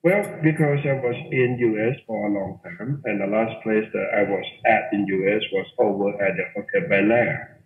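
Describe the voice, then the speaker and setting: A middle-aged man from Thailand, speaking in a lowish voice over a tinny phone line with a roomy echo. He talks as a hotel manager in an interview. He is animated and repeats words for emphasis. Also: normal speed. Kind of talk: interview guest. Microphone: phone or call line.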